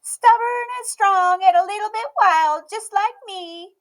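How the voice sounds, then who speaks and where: A woman's voice: extremely high pitch, a little slow, pitched above the performer's own voice. A woman voicing a stubborn, eager little girl in a storybook, with an emphatic, pouty, pleading delivery.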